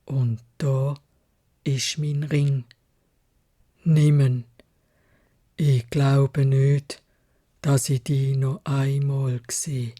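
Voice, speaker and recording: Woman voicing a slow, low, sorrowful beast. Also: flat delivery, mellow, full character voice. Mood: resigned, sad. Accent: Swiss German. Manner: slow, halting, heavy-hearted. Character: sorrowful gentle male beast in love.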